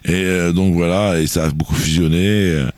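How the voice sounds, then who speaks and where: Low-voiced man rambling on the radio. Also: steady tone, moderate pace, a little mellow, no room sound. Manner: rambling, hesitant, many fillers and restarts. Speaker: music radio show guest presenter. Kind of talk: casual radio chat about music history. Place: radio studio.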